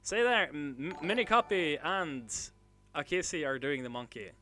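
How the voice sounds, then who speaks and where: A man from northern Norway, hosting a casual livestream and chatting with viewers in a halting way, full of filler sounds and restarts. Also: on the high side, sing-song, bright, forceful tone. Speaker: livestream host. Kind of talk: casual livestream chat, reading chat messages.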